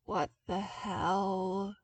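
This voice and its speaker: A woman voicing an incredulous, hot-tempered young military man in an audiobook, with emphatic, drawn-out words. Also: mid-range voice, little pitch movement, husky, far from the mic. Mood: shocked. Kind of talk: audiobook character dialogue.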